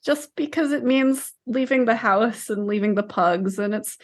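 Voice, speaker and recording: A woman's voice: fairly high pitch, unhurried. A woman co-hosting a podcast in casual chat, matter-of-fact with a dry, teasing streak. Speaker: podcast co-host.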